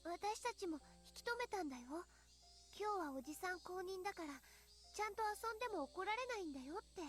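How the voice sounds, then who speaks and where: An actress voicing a kind teenage girl in a game, explaining in a soft tone. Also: very high voice, animated, rapid-fire, faint music behind. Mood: gentle.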